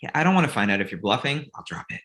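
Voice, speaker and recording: A man's voice: mid-range voice, sing-song, racing, fairly bright tone. A man playing a cocky, wry grown son who lives with his parents, in a comedy table read. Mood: petulant. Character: cocky, needy grown son, amateur film buff, living with parents.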